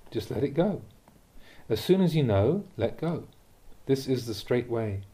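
A man with a medium-pitched, deeply mellow voice reads Buddhist teachings from a script. His tone is very relaxed and dark. He speaks in a measured, deliberate way, setting out each point as instruction. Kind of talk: scripted reading of a dharma talk.

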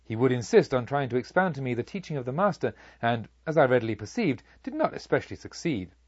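A man's voice: medium pitch, very animated, fast talker, breathy edge, muffled recording. A man voicing an earnest, reflective male pilgrim who speaks formally in an audiobook. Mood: rueful wonder. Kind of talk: audiobook character speech.

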